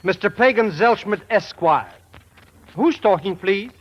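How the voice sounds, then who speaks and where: High-pitched, nervous little radio sidekick. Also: male voice, lively intonation, unhurried. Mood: flustered. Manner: stammering, flustered, formal turns of phrase. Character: nervous, cowardly, money-minded small-time sidekick. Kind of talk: old-time radio drama acting. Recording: muffled, noisy vintage radio recording.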